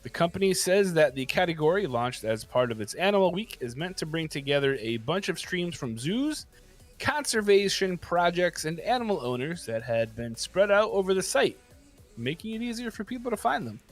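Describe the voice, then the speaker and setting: A man with a somewhat high voice co-hosts a morning show. He moves between playful banter and casual news reading, with faint music in the background. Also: very animated, moderate pace.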